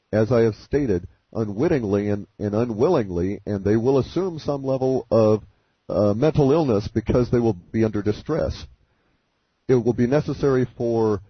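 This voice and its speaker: A man giving formal, deliberate, technical explanations as an interview guest on a conference call. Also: slightly rough, no room sound. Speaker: interview guest presenting his forecasts. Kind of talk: measured conference-call exposition. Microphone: conference call line. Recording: muffled, low-fidelity conference call.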